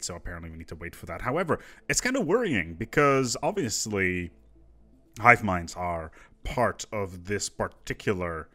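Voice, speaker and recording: A man's voice: sits low, a bit bright. A man presenting casual gaming news commentary in an informal, conversational, musing manner.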